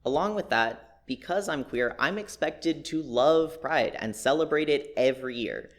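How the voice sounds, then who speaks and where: A man's voice: medium pitch, lively intonation, measured pace, fairly bright tone. A young man giving a prepared personal reflection at church, plain-spoken and candid.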